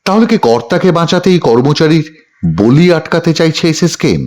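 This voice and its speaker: A male news reporter narrates a scripted report in a fairly high voice. His intonation swings widely, and he delivers each line with dramatic emphasis. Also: lively intonation, brisk, a little mellow.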